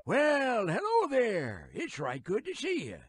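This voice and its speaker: An actor playing a folksy, teasing elderly museum caretaker in a video game, with a raspy voice and a rambling rural American drawl. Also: male voice, very high voice, huge pitch swings, slow, loud music behind the voice, full character voice. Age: older. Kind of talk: character narration for a video game.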